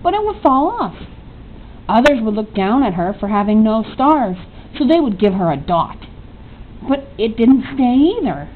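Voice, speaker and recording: A woman's voice: on the high side, very animated, normal speed. A woman reading a children's story aloud as a narrator. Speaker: storybook reader. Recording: tinny narrow-band audio, faint noise.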